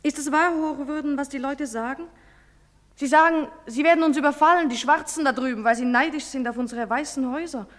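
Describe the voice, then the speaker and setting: A woman's voice: high-pitched, brisk, natural voice. An actress playing a defiant, wary nineteen-year-old village girl in a German stage drama, with curt, indignant delivery. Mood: anxious. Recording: echoey theatre-style hall reverb.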